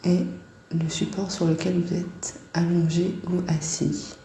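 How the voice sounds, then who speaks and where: A woman guiding a body scan meditation in a calm, soft-spoken, unhurried way with long pauses. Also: fairly low pitch, vocal fry, roomy sound, slight background noise.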